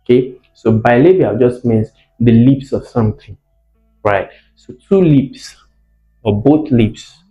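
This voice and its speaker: A man's voice: mid-range voice, takes it slowly. A man teaching a lesson, explaining didactically, asking questions and repeating key words for emphasis. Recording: muffled, echoey room with faint music behind.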